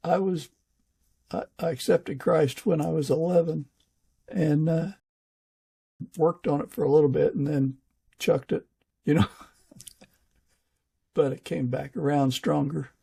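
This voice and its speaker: A man hosting a podcast in a casual interview style, talking haltingly with pauses mid-sentence. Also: on the high side, steady tone, creaky, warm tone, husky. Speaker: podcast host.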